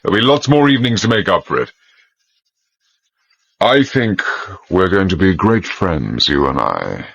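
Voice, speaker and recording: Suave male villain, very low, lively intonation, falsely chummy, muffled recording. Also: a little slow, creaky, long pauses, slightly rough, heavily acted. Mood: falsely genial. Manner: urbane, insinuating. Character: suave, smug male villain feigning friendship. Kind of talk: radio drama acting.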